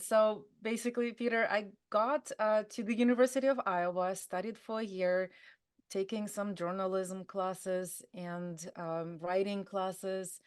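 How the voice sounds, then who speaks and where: A woman's voice: medium pitch, a little mellow. Chatty woman with a Russian accent. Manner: chatty, many fillers and restarts. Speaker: guest. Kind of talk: conversational interview.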